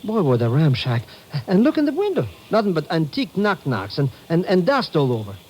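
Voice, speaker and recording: High-voiced, bumbling comic sidekick. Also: male voice, huge pitch swings, fairly quick, darkish tone. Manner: ungrammatical, slangy, bumbling. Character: naive, excitable male sidekick, slangy comic rogue. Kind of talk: old-time radio comic relief acting. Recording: noisy vintage radio recording.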